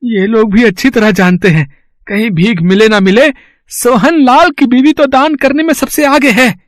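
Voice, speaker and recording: Man acting a very high-pitched, grumbling miser. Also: moderate pace, no room sound. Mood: grumbling, greedy. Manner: grumbling, scolding, fussy. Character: greedy, miserly small-town trader, irritable grumbling husband. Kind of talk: dramatised moral storytelling.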